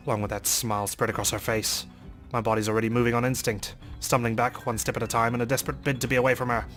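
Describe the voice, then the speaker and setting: Male let's play reader, mid-pitched, rapid, theatrical storytelling with faint game music behind. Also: a bit bright. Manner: theatrical, reading in character. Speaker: let's play host reading game text. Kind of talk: dramatic reading of visual novel narration.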